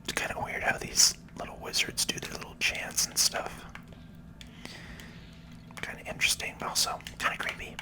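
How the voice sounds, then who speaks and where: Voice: normal speed, pauses often. A person giving whispered, casual ASMR commentary while hosting a video game playthrough, explaining things as they go. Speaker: host, gaming video creator.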